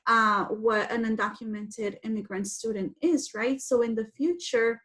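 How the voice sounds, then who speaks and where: A woman's voice: fairly high pitch, measured pace, a bit bright. A woman from Guatemala whose first language is Spanish, telling her personal story to children in simple, explanatory phrases. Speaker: guest speaker telling personal story to schoolchildren.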